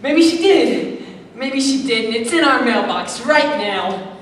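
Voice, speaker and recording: Cartoon boy, timid and unlucky, played by a woman; slow, worried delivery, heard from a distance in a very echoey space with loud music behind. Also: fairly high pitch, animated, slight background noise. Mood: nervous. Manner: anxious, self-doubting, half-sung musing. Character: shy, hapless young boy. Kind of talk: cartoon song and acting.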